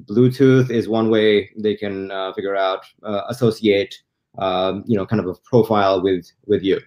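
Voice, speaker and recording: A man's voice: fairly low pitch, fairly even intonation, normal speed, dull audio. A man giving a casual explanation as a guest on a video call, often rephrasing himself. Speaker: guest tech commentator.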